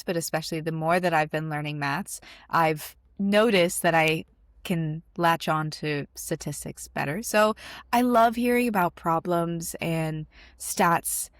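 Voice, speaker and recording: A twenty-year-old woman from Dubai co-hosts a podcast in an earnest, thoughtful way, now and then restarting a phrase. Her voice sits rather low, and the recording is dry and close. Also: a bit bright, no room sound. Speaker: podcast co-host. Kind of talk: podcast chat.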